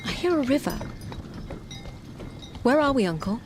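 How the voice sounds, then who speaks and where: A woman playing a blind young princess in an audio game, wry and bitter yet vulnerable, with measured delivery. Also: high voice, sing-song, noisy background. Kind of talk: dramatic audio game narration and dialogue.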